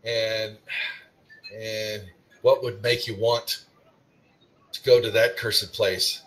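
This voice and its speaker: A man voicing a grumpy old fisherman with a taste for drink, speaking in a gruff, folksy manner during a tabletop game. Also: lowish voice, little pitch movement, slow pace, a bit bright, rough recording. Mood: warning.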